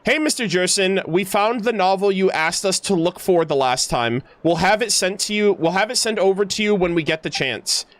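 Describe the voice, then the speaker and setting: A man's voice: high voice, expressive, normal speed, few pauses, a bit bright, no echo. A man reading the lines of a blunt, impatient young woman in a fantasy game, stumbling here and there. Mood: friendly.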